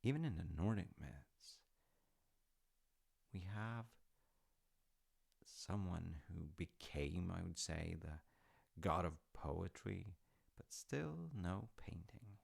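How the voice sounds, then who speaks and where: A man's voice: sits low, expressive, takes it slowly, gravelly, pauses often, slightly rough, heavily acted. A man playing an easygoing dad in an intimate audio roleplay, telling old myths casually with wry, understated humour. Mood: musing. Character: easygoing, artsy dad telling Norse myths to his grown child.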